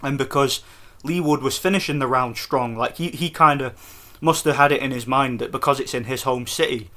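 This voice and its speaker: A man from Scotland giving a casual, excited post-fight review for his vlog, rambling with restarts. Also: medium pitch, fairly quick. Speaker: boxing vlogger.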